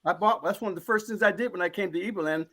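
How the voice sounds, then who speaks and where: A man's voice: high-pitched, very fast, sharp tone. A man speaking as a panelist in a live discussion, earnest and emphatic, often repeating a phrase as he builds toward his point.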